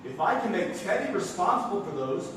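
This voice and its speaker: Echoey noisy theatre, man as high-pitched frantic nephew, anxious, insistent, comic stage acting. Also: big pitch swings, a little fast, distant mic. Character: frantic, protective nephew trying to control mad relatives. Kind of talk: live stage comedy acting.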